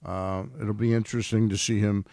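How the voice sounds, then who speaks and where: Man, lower pitch, creaky voice, dry studio mic, casual sports chat, halting, questioning. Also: level tone, darkish tone, dry recording. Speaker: sports radio regular commentator. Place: radio studio.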